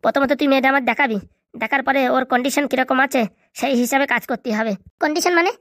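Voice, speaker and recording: A man voicing an excitable, cheeky young male cartoon buddy, with slangy bantering delivery and a pitched-up effect. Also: quick pace, no room sound, studio quality. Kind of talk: comedy cartoon dubbing in colloquial rural dialect.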